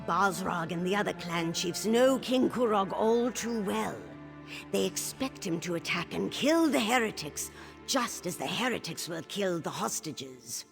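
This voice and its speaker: An actress playing a shrewd, calculating older orc queen mother in a video game, measured and authoritative. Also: medium pitch, expressive, gravelly, faint music behind. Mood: cool, calculating. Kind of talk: video game character acting.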